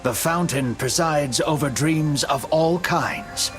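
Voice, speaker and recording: A man narrating a video game story cutscene in a measured, dramatic storytelling manner. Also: fairly high pitch, a bit bright. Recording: music under the voice. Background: music.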